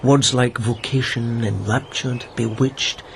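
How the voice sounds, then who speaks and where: An actor playing a lovesick young lieutenant, earnest and tender with a self-mocking edge. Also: male voice, medium pitch, steady tone, slow, creaky, slight background noise. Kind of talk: radio drama acting.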